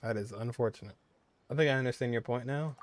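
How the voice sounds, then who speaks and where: A man's voice: mid-range voice, fast, noisy background. A man gaming streamer giving casual, reactive gameplay commentary.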